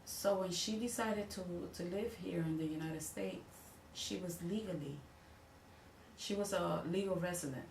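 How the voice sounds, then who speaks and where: Low-voiced Dominican woman, distant and echoey. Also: creaky, a little mellow. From Dominican Republic. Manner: halting, searching for words and repeating herself. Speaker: interviewee. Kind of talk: recounting family history. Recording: echoey room, voice off-mic with faint noise.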